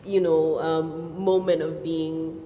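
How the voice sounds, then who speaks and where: A novelist from Nigeria, a woman whose first language is Yoruba, answering questions at a festival in an animated way with many fillers. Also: deep voice, slow, slight background noise. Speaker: novelist guest. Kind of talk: festival stage conversation. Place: festival hall. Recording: echoey hall with long reverb.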